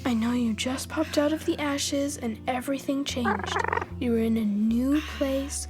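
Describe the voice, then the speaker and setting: A man's voice: very high-pitched, a little slow, loud music behind the voice. An actor playing an earnest young boy, a confident explainer who loves mythology, in an audio adventure drama. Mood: gentle, reassuring.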